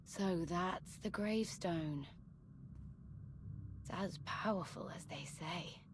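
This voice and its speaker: Cold game villainess: lowish, unhurried, haughty, over clear noise. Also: female voice. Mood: cool. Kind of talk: video game voice acting.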